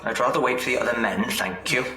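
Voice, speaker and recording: A man acting a scornful, forceful-voiced man. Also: medium pitch, quick pace, far from the mic, lightly acted. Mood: sneering, impatient. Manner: curt, sneering. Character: scornful, impatient man who belittles women. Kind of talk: audio drama acting. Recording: echoey room, away from mic.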